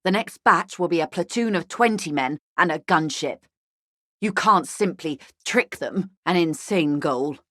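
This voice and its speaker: A composed corporate negotiator from a video game. Her voice sits low, recorded dry with no room around it. She speaks in measured, literate sentences, grave and weary, with a sardonic edge. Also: pushed and bright, dry recording. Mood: guarded, stern. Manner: articulate, formal, dryly ironic, grave.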